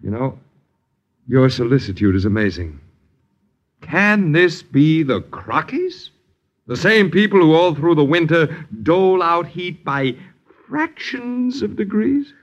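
A man's voice: a little high, very animated, slow pace, dull audio. A sardonic American lodger in an old-time radio play. His delivery is florid and theatrical, swooping up and down as he needles his miserly landlords with mock grandeur. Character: sardonic, wordy male boarder mocking his miserly landlords. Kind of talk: 1950s American radio drama acting. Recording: 1950s radio broadcast recording.

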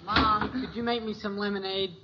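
An actor playing a lazy teenage son who rambles and makes excuses in a 1950s radio sitcom. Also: male voice, high-pitched, level tone, normal speed, noisy background, close to an everyday voice. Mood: sheepish. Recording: dull vintage radio broadcast.

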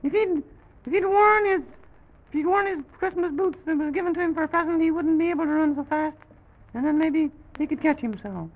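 Fussy little president of a children's toy land: extremely high, crackly voice, flustered rambling, muffled vintage recording. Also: male voice, fairly quick. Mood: exasperated. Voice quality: high, creaky. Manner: fussy, folksy exclamations, rambling and repeating himself. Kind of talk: old-time children's story show character acting. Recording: dull, lo-fi vintage broadcast recording.

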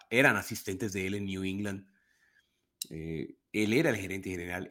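Colombian guy on a sports podcast, lowish voice, rambles and restarts a lot. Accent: Colombian Spanish. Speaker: podcast co-host. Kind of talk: casual sports talk.

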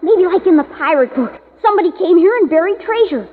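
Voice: very high-pitched, lively intonation, quick pace. A young performer playing a bold, imaginative boy in a 1950s radio drama, eager, stubborn and hungry for adventure. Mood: excited, dreamy. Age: child. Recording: tinny hissy 1950s radio recording.

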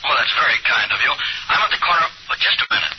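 A man's voice: mid-range voice, sing-song, fast talker. An actor playing a courteous, plain-spoken male traveller on the telephone in a 1940s radio drama. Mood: polite. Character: polite male traveller phoning his hostess. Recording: noisy muffled old radio recording.

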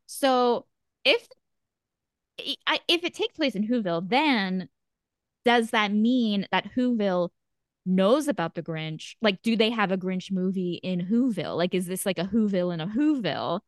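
A woman co-hosting a podcast, chatting casually in a rambling, animated way with lots of fillers. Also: medium pitch, normal speed, no room sound, clean studio sound. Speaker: podcast co-host. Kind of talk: casual chat.

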